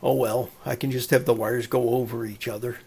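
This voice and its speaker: A man's voice: medium pitch, little pitch movement, fairly quick, gravelly, warm tone, slightly rough. A man narrating a DIY electronics explainer in a plain-spoken, methodical and mildly folksy manner. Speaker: electronics hobbyist presenter.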